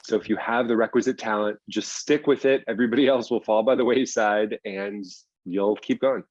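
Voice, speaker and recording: A man's voice: lowish voice, steady tone, normal speed, a little mellow, dry recording, dull audio. A man from the US East Coast chatting casually as an interview guest, explaining things in a self-deprecating way. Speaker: guest, TV writer and teacher.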